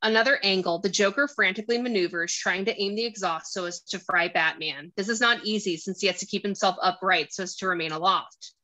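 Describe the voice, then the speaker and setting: A woman's voice: medium pitch, fairly quick, hardly any pauses, sharp tone, low-quality recording. A woman narrating a screenplay, reading out stage directions and scene descriptions.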